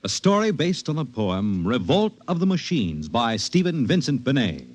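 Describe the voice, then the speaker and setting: A man announcing a 1950s science fiction radio drama in a booming, dramatic delivery. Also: very animated, normal speed. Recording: dull old radio broadcast with music.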